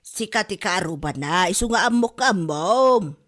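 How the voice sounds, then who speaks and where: A woman's voice: medium pitch, animated, a little slow, a bit bright. A woman playing a gossipy, ailing and quarrelsome village wife with an Ilocano accent in a comedy drama podcast. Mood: scolding, nosy.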